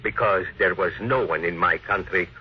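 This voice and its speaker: An actor playing a grave, resentful foreign engineer in a 1950s radio drama, speaking formally and deliberately. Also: male voice, sits low, slightly rough. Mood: solemn, restrained. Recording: muffled old radio broadcast recording.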